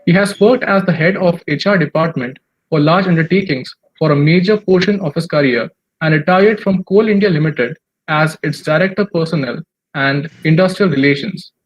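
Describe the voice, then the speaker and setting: A conference anchor formally thanking a speaker and introducing the next panel from a script. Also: female voice, deep voice, normal speed, muffled recording, slight background noise. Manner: formal, effusive, reading from a script.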